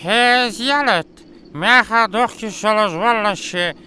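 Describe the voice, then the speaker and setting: A man voicing an unhurried male cartoon character in a dub with some background noise. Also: high-pitched, bright, forceful tone, full character voice. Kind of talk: cartoon dubbing.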